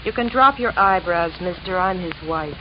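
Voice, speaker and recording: An actress playing a brassy, blasé young wife in a 1950s radio crime drama, offhand and curt. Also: female voice, fairly low pitch, animated, normal speed, close to an everyday voice. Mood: brusque, challenging. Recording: muffled vintage radio, sizzling noise.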